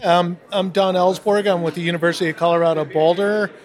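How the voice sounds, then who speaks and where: Earnest, slightly high-voiced man. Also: fairly even intonation, fast talker, a crowd in the background. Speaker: university staff member. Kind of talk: self-introduction in a group round.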